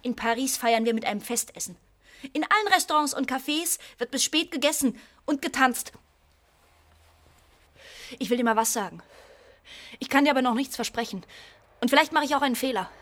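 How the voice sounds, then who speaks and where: A woman's voice: high-pitched, brisk, long pauses, fairly bright tone. An actress playing a blunt, streetwise woman who is a fugitive's accomplice in a German radio play, insistent and taunting. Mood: probing, practical.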